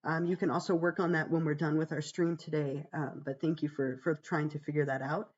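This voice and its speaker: Hoarse, gravelly-voiced woman teaching. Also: little pitch movement, a little fast, a little mellow, muffled recording. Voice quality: gravelly, deeper than usual. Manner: chatty, reassuring, fillers like "um" and "right?". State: hoarse from being sick. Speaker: psychology instructor. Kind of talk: casual livestream course walkthrough.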